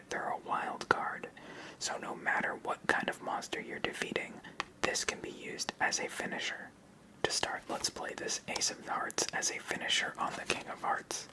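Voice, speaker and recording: An ASMR host speaks entirely in an unvoiced whisper. The delivery is calm and methodical, walking the listener through a card game one step at a time. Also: poor audio.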